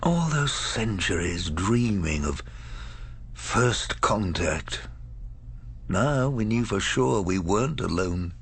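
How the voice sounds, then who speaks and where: An actor playing a weary space captain in a radio drama, measured and grave, with calm authority. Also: male voice, on the low side, breathy edge, heavily acted. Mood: grave, reflective. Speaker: captain and narrator.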